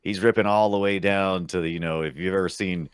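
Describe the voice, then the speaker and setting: A man's voice: low voice, steady tone, fairly quick, clear, resonant tone, no echo, clean studio sound. A man chatting casually about films as a podcast guest, telling it expressively with little acted asides. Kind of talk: casual movie discussion.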